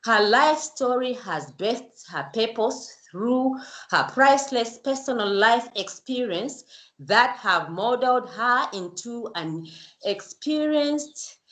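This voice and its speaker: A woman's voice: a little high, a bit bright, roomy sound, rough recording. A woman hosting her own show, chatting in a casual interview with effusive, gushing praise. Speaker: YouTube show host.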